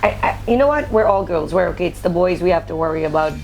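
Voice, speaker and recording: A woman hosting a casual interview podcast, speaking in a playful, teasing, expressive way. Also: lowish voice, fast talker, soft background music, rough recording. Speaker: podcast host; preacher.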